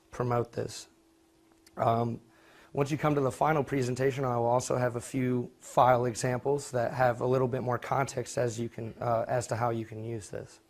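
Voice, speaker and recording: A man's voice: medium pitch, darkish tone. A young man giving an informal student project presentation, speaking a little hesitantly and correcting himself as he goes. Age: young adult.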